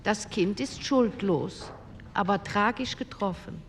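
A woman playing an earnest, firm conservative female politician in a radio drama, making a deliberate parliamentary speech. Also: mid-range voice, slight background noise.

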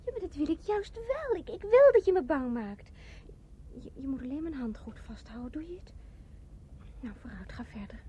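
An actress playing an excitable young woman in a 1960s radio drama, halting, breathless and theatrical. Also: high voice. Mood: thrilled, fearful. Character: excitable young woman craving thrills, turning terrified. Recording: noisy old radio recording.

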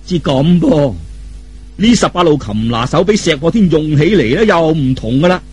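Male Cantonese audiobook storyteller: rough husky voice, wide swooping intonation, dramatic colloquial delivery. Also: on the high side, expressive, normal speed, a little mellow, soft background music. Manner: theatrical, colloquial, with vivid sound effects and exclamations.

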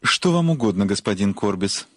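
A lowish male voice , acted as a dutiful manservant in an audio drama. His pitch moves around a lot, and there is clear noise behind him. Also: expressive, normal speed, natural voice. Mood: obedient. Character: dutiful, obedient manservant to a writer. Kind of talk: audio play acting.

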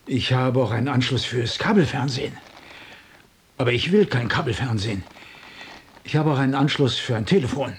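Disgruntled, brooding man, acted, swinging pitch. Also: expressive, fairly quick, long pauses, close to an everyday voice. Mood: brooding, defensive. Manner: brooding, agitated, complaining. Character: disgruntled, lonely tenant man, obsessive and bitter. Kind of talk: radio drama acting.

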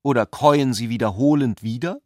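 An actor playing a polite, ironic intellectual cousin who philosophises courteously in a literary radio play. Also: male voice, medium pitch, fairly quick, no room sound, studio quality, close to an everyday voice. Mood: musing, dry.

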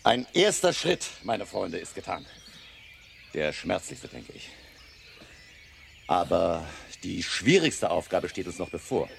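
A man's voice: lowish voice, gravelly, slight background noise, full character voice. A man playing a grave, commanding vampire hunter and mentor in a German radio drama, solemn and emphatic.

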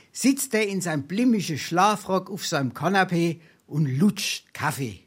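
Boastful Hessian scrounger, wildly animated. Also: male voice, sits high, husky, heavily acted. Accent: Hessian, Darmstadt. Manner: theatrical, braggartly, hesitating for effect. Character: boastful, wily penniless male scrounger spinning tall tales. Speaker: stage actor. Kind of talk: dialect comedy theatre.

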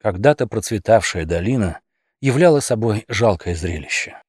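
Animated male audiobook narrator, dry sound. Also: on the low side, normal speed, husky, no room sound. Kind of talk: expressive scripted storytelling narration.

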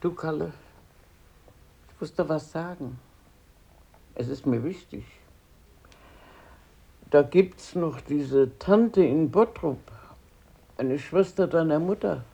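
Husky, slow-speaking old grandmother. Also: low-pitched, full character voice. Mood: earnest, subdued. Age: older. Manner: gentle, sighing, chuckling delivery. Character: warm, frail old grandmother raising her grandson. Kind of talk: German radio play acting.

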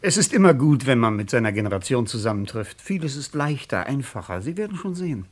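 An actor playing an eager, polite and wordy West German publisher in a radio play. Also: male voice, medium pitch, sing-song, fast talker. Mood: confiding, reassuring.

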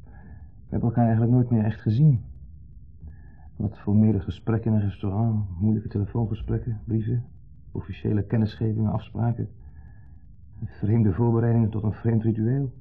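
Wistful divorced man, low husky voice, halting and sentimental, 1970s radio drama on a muffled recording. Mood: wistful, regretful. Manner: halting, reflective, speaks in short fragments.

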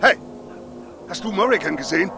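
A man voicing a furious middle-aged father in an audiobook, gruff and barking, with noise behind him. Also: sits high, expressive, a little fast, pitched above the performer's own voice. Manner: barking, accusatory.

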